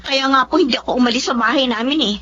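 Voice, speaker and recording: A woman's voice: high-pitched, fast talker, fairly bright tone. A Filipino woman acting in a radio drama as an outspoken, protective and resentful young adult daughter. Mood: annoyed. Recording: dull lo-fi audio with noise, hum.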